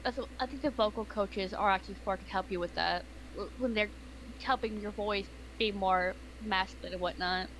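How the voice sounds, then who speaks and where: A woman's voice: medium pitch, normal speed, a bit bright, a little hiss or hum, low-quality recording. A woman chatting casually on a voice call, rambling with fillers and small laughs. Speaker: partner calling in on voice chat. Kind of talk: casual chat.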